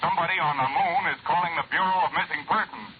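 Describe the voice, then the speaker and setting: A curt American radio engineer, acted by a man in a 1950s radio play. He is slangy and insistent, speaking a little high through a tinny, noisy line. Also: fast talker, close to an everyday voice. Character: brusque, insistent radio transmission technician.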